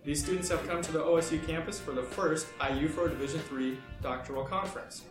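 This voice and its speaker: A man's voice: fairly high pitch, steady tone, quick pace, reverberant, over music. A man hosting a podcast, welcoming listeners and introducing student guests in an upbeat way. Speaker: podcast host. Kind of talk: scripted podcast intro and interviewing.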